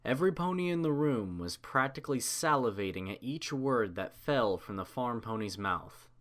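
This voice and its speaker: Man, story narrator, scripted reading, mid-pitched, expressive melody. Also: moderate pace.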